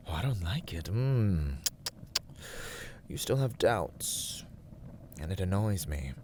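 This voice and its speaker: A man playing an arrogant, teasing villain warlord who craves control, in a theatrical ASMR roleplay, with breathy, mocking, drawn-out delivery. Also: lowish voice, slight background noise. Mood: annoyed, condescending. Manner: haughty, languid, mocking, drawn-out words.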